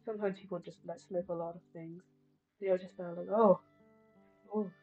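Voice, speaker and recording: A woman's voice: lowish voice, steady tone, normal speed, breathy edge. A woman live streamer chatting casually over a game in a musing, wry, rambling way. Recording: slightly muffled stream, music behind.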